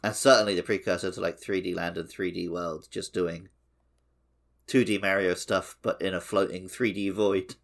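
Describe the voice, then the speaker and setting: A man's voice: low voice, fairly even intonation, moderate pace, gravelly. A man co-hosting a gaming podcast, chatting casually in a loose, conversational way. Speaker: podcast co-host.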